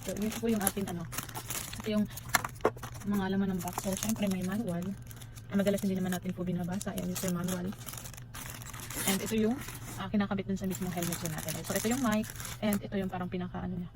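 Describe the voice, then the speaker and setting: Female Filipino vlogger, informal unboxing chat, mid-pitched and fast, switches into English, recorded with noisy ambience. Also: fairly even intonation. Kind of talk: casual unboxing and review chat to viewers.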